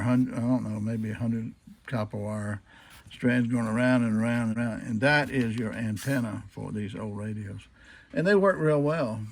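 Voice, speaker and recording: A man's voice: medium pitch, no echo. A man talking casually and unscripted through a hands-on demonstration, folksy and repetitive, posing questions and answering them himself. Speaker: hobbyist demonstrating old radio restoration.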